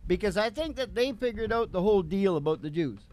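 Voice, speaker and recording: A man hosting a comedic talk radio show, rambling in a folksy, irreverent way with halting digressions. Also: high voice, expressive, darkish tone.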